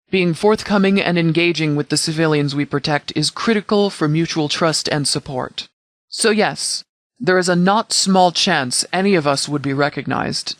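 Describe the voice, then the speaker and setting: A man acting as a no-nonsense law-enforcement commander from a video game. His voice sits a little high for a man, and he speaks in formal, precise, measured military phrases. The recording is dry and close. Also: fairly bright tone, dry recording. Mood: matter-of-fact, then firm, raised. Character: formal, no-nonsense male sheriff's department commander. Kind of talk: scripted game dialogue, mission briefing.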